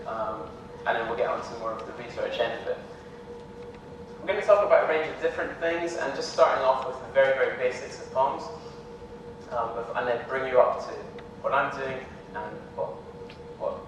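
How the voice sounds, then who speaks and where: A young Scottish man giving an academic lecture in a relaxed, hesitant way, full of ums. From Scotland. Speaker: visiting lecturer. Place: lecture hall. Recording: echoey hall from far off, with rumble.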